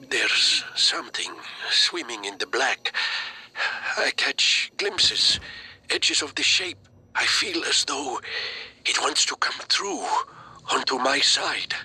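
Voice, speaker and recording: A troubled male scientist speaks slowly in a tense, very bright voice. He is guarded and haunted, stumbling over his words in dramatic game style, with faint noise behind him. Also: medium pitch, heavily acted. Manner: hesitant, stammering, haunted. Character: troubled male scientist recounting a recurring nightmare, anxious and guarded. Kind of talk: dramatic video game voice acting.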